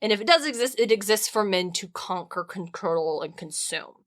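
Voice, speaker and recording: A woman co-hosting a podcast in a casual comedic discussion, animated and opinionated. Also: mid-range voice, moderate pace. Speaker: podcast co-host.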